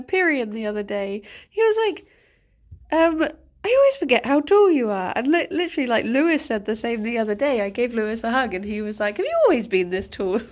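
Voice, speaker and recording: Stuffy-nosed woman chatting casually. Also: a little high. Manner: chatty, giggly, greets viewers by name. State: congested, stuffy nose. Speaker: streamer. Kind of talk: casual morning chat with viewers.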